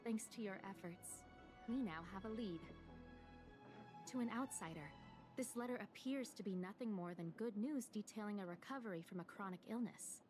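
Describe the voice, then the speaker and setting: A woman's voice: medium pitch, moderate pace, natural voice. An actress playing a composed, stern female chief counselor in a fantasy video game, speaking formally and precisely. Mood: composed, businesslike. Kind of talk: scripted video game dialogue. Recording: game music behind the voice.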